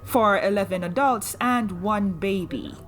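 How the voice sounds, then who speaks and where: An actress playing a shrewd, commanding woman smuggler captain in an audio drama, wry and deliberate, with rough nonstandard grammar. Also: mid-range voice, lightly acted. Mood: businesslike.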